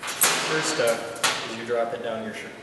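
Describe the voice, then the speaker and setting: A man's voice: mid-range voice, a little slow. A man giving a casual, step-by-step hands-on demonstration in an informal, joking way. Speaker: instructor, video host. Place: indoor room. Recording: very echoey room, distant mic, noisy.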